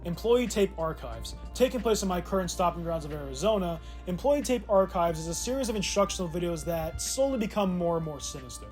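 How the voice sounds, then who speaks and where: A man's voice: high-pitched, lively intonation, fairly quick, hardly any pauses, a little mellow. A man giving casual, sarcastic and irreverent commentary as a video essay host, mixing scripted lines with offhand asides. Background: music.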